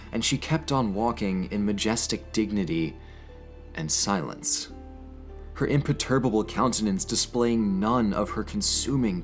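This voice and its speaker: A man with a fairly low voice presents classical poetry in a measured, literary way. He shifts between casual host talk and dramatic reading, with soft guitar music playing behind him. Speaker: host of an educational channel.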